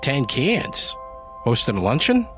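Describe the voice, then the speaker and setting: A man's voice: medium pitch, huge pitch swings, slow, fairly bright tone, soft background music, voice pushed up, exaggerated voice. A man voicing a friendly, chatty small-town shopkeeper.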